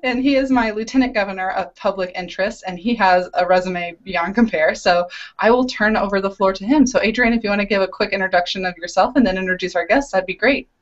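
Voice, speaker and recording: A woman's voice: medium pitch, fast talker. A young woman hosting a webinar on a video call, introducing it in a friendly way with frequent um fillers. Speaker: student organization leader hosting a webinar. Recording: dull lo-fi video call audio.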